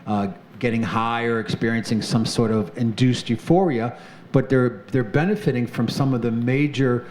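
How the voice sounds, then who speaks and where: A man hosting a talk show, interviewing a guest in an earnest, halting way with many restarts. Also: mid-range voice, fairly even intonation, darkish tone, slight background noise. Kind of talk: conversational interview.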